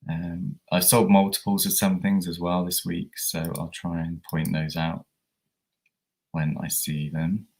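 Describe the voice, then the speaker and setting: A man hosts a casual livestream in a very low, croaky voice, as he is coming down with a cold. He rambles in a chatty way, full of ums and little restarts. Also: flat delivery, a little slow, darkish tone. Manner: chatty, rambling with many fillers. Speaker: eBay reseller livestream host.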